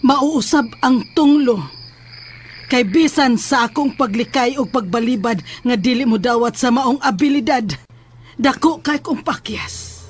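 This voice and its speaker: A woman's voice: on the high side, measured pace, slightly rough, soft background music. An actress playing a sincere, trusting young woman in a horror audio drama about a family curse. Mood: solemn.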